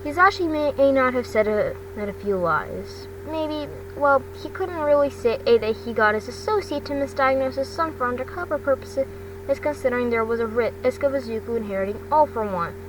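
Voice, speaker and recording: Female amateur story reader, higher-pitched, stumbling delivery, recorded off-mic with noticeable noise. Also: lively intonation. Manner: halting reading, stumbles over words. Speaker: amateur fan fiction reader. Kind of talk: scripted narration, reading a story aloud. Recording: distant mic with loud rumbling noise.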